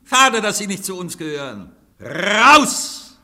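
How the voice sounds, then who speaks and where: A haughty anarchist chief barks orders and sneers at his council. His voice sits high and bright, swinging widely in pitch. It carries a long hall reverb, like a radio play recorded in a large room. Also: big pitch swings, slow pace, full character voice. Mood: mocking. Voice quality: imperious, scornful, booming. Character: commanding anarchist council president, imperious and mocking.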